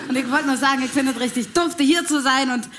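A woman playing a blunt, loud-mouthed Berlin woman with a Berlin accent in a clown stage act. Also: high voice, normal speed, heavy background noise, voice pushed up, natural voice. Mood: gleeful. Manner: blunt, coarse, slangy. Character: brash loud Berlin woman, careless of looks.

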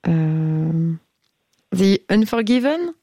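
A woman's voice: on the low side, sing-song, drawn-out words, warm tone, no echo, studio quality. A woman hosting a late-night radio phone-in, chatting casually with a caller and laughing often as she stumbles over song titles. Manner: playful, laughs often, hesitant reading of titles.

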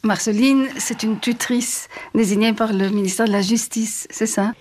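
A woman's voice: a little high, fairly even intonation, moderate pace, darkish tone. A woman asking conversational questions as an interviewer.

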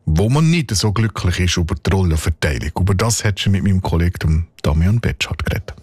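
Swiss radio news guy, really low voice, reads it out smooth, dry sound. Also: lively intonation, fast talker, darkish tone, dry recording. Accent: Swiss German. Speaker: radio presenter. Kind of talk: scripted news presentation.

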